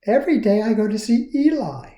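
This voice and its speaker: A carefree storybook puppet lady, as a man puts her on. She talks in a light, matter-of-fact way, lifted high in pitch and sure of herself. The room echoes around her. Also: very animated, fairly quick, dark tone. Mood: breezy, assured. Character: carefree, self-assured female wooden puppet person. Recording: roomy, echoey sound.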